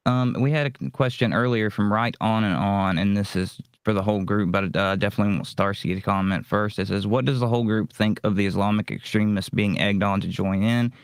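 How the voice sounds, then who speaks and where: A man's voice: lowish voice, steady tone, a little fast, few pauses, clean tone, dry recording. A man hosting a casual live-streamed discussion, chatting with the panel and reading out questions. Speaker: panel host.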